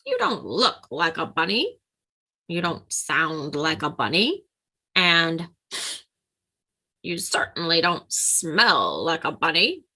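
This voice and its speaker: A woman's voice: deep voice, wildly swooping pitch, slow, pauses often, bright, forceful tone, husky, heavily acted. A woman voicing a stern, haughty old male elder bunny who speaks with disdain. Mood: disdainful. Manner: disdainful, looking down on others.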